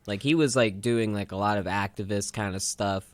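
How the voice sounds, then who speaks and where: A man with a fairly low, clean and smooth voice talks casually as a radio co-host. He rambles through his stories in a loose, conversational way, recorded close and dry. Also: normal speed, no echo. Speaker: co-host of a retrospective radio show. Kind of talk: casual chat.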